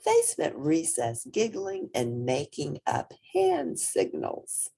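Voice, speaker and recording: A woman reading a children's picture book aloud in an animated, dramatic way, with a husky, breathy voice that drops into whispery tones and lingers on certain words. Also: low-pitched, slow. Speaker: storybook reader.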